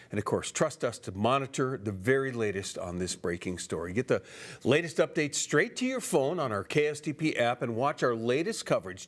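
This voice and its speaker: A man with an American accent reading scripted broadcast news as an anchor. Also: mid-range voice.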